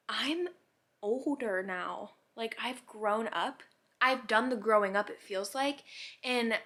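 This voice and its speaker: A young woman of about eighteen talks casually to her viewers in a bright, clear-edged voice at a middling pitch. She rambles reflectively, questioning her own thoughts as she goes and peppering them with "like". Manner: musing, self-questioning, heavy use of "like". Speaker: vlogger.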